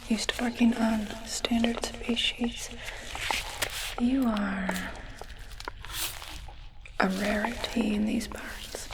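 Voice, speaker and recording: A woman playing a curious, clinical alien technician in an ASMR roleplay, whispering breathily and questioning in a hushed, muttering way. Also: on the high side, noisy background. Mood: curious, puzzled.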